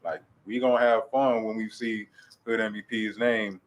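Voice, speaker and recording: Man in his late 20s, naturally low voice, laid-back, hesitant, lots of fillers. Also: steady tone, normal speed, rough recording. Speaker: guest rapper. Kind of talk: casual interview chat.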